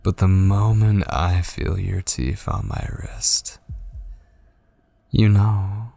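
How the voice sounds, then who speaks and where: Man acting a husky, very slow possessive kidnapper. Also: deep voice, level tone, mellow, dry recording, heavily acted. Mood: taunting, insistent. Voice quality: breathy, husky. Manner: slow, coaxing, menacingly tender, lingering on phrases. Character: possessive, obsessed male kidnapper, softly menacing and patient. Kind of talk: intimate ASMR roleplay, drifting into whispers. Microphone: close studio microphone.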